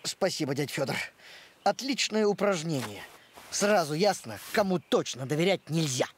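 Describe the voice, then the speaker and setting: An actor voicing a touchy, good-natured, simple-hearted male dog in an animated series, talking in a huffy, colloquial way. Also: mid-range voice, normal speed, heavy background noise, natural voice. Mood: offended.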